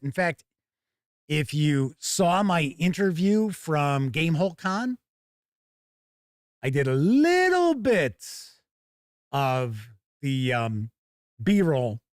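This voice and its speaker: A man's voice: on the high side, dry recording, clean studio sound. A man hosting a tabletop gaming news show, enthusiastic, folksy and conversational, moving between scripted items and off-the-cuff emphatic commentary.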